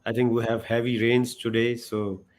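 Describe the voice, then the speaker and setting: A man hosting a video call Q&A, unhurried with hesitant fillers. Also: mid-range voice, flat delivery, a little mellow, a little hiss or hum. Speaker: host.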